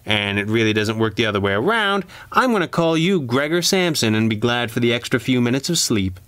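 A man voicing a young undercover policeman in a crime story, brash and defiant, with sharp, challenging retorts. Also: mid-range voice, very animated, fairly quick, clean tone, no echo, voice pushed up. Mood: cool, wry.

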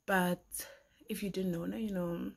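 A woman's voice: fairly low pitch, fairly even intonation, normal speed, gravelly, noisy background. A woman in her twenties, a pastry chef student, chatting casually to her phone camera at home in a rambling way with lots of fillers. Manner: chatty, rambling, with frequent fillers and soft asides. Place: small indoor room at home. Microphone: phone camera at arm's length.

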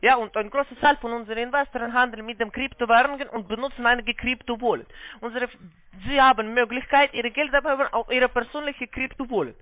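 A man with a foreign accent giving a halting, repetitive, polite phone sales pitch in broken, non-native German. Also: fairly even intonation, dry recording. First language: not German. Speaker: call center investment salesman on a scam call. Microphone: phone call. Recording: narrow-band phone line sound.

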